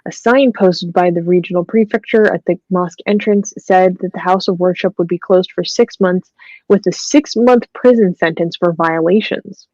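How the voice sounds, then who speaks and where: A woman co-hosting a talk show, reading news reports aloud with many pauses and ums, then adding her own comments. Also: lowish voice, darkish tone, no room sound, highs cut off.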